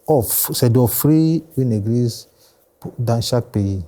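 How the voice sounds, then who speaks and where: A man in his forties from Ghana, a pastor whose first language is English, speaking with a Ghanaian accent in a measured studio interview, often answering with short agreements. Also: medium pitch, lively intonation, dark tone. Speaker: pastor and guest.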